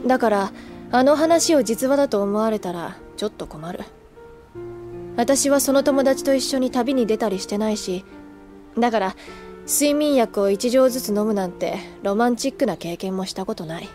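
A woman's voice: on the high side, lively intonation, normal speed, mellow, faint music behind, lightly acted. An actress playing a candid older schoolgirl in an anime audio drama, languid and teasing with a wistful streak.

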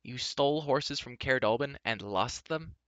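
A man's voice: mid-range voice, normal speed, pushed and bright, no echo, lo-fi sound. A man voicing an earnest, hot-tempered young hero in a story, blunt and scolding. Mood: stern, exasperated. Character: hot-tempered, earnest youth, a lowly pig keeper on a quest.

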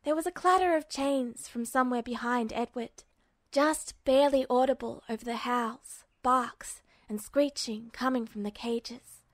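A woman's voice: a little high, unhurried, dry recording. A woman reading a scripted story aloud as an audiobook-style fan fiction narrator. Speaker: podfic narrator.

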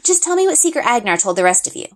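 A woman's voice: a little high, very animated, very fast, pitched above the performer's own voice. A woman voicing an eager, confident young girl adventurer in a storybook, with casual youthful phrasing. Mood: encouraging.

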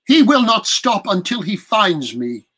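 A man's voice: sits high, very animated, measured pace, fairly bright tone, slightly rough, pitched above the performer's own voice, full character voice. A man voicing a proud young woman prisoner in a raised, earnest voice. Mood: defiant.

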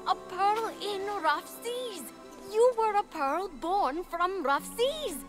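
Young game heroine: earnest, awkward, excitable, very lively intonation, music underneath. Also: female voice, very high voice, slow pace, exaggerated voice. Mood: eager. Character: eager, awkward young heroine, a starstruck mortal woman. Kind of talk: video game character acting.

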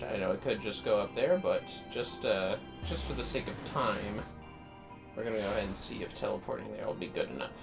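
A man livestreaming a game with casual, chatty commentary in an easygoing manner. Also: fairly low pitch. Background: faint music.

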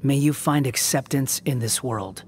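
A man's voice: medium pitch, unhurried, darkish tone, slight background noise, close to an everyday voice. A man voicing a proud, aloof young wanderer in a video game, curt and exasperated but grudgingly kind. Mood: solemn.

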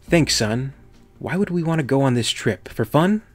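A gruff, impatient military captain and pilot, played by a male audiobook reader. He speaks in a curt, scornful way, with music playing behind him. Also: mid-range voice, measured pace, close to an everyday voice. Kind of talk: audiobook character dialogue.

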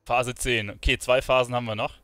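A man's voice: mid-range voice, level tone, fairly quick, pushed and bright, dry recording. A man giving casual gameplay commentary as a streamer. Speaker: gaming streamer. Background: game music and sounds.

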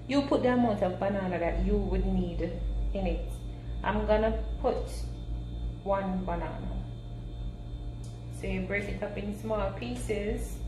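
A woman giving a casual, step-by-step home recipe demonstration in simple, unhurried instructional talk. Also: mid-range voice. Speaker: home cooking vlogger. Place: small home kitchen, indoors. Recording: roomy echo, clear background noise.

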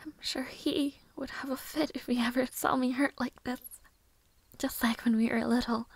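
A woman playing a sorrowful, lovelorn young maid in an audio roleplay monologue, soft and breathy, often whispering, with hesitant pauses. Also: high-pitched, fairly even intonation, heavily acted. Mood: wistful, longing. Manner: hesitant, slow, emotional pauses.

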